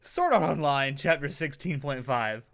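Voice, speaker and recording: A man's voice: on the high side, animated, moderate pace, fairly bright tone, clean tone, dry recording, clean studio sound. A man hosting a casual podcast, talking in a rambling, jokey way. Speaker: podcast host.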